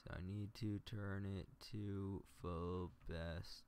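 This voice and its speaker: A man's voice: deep voice, fairly even intonation, takes it slowly, a little mellow, a little hiss or hum. A man talking casually on a livestream, explaining his editing as he goes and muttering to himself between explanations. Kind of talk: casual livestream tutorial, thinking aloud while editing.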